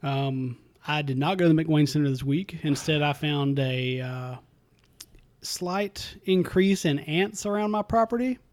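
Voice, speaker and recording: A man's voice: on the high side, unhurried, darkish tone. A man, telling easygoing stories with dry humor as a podcast co-host. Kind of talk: casual chat.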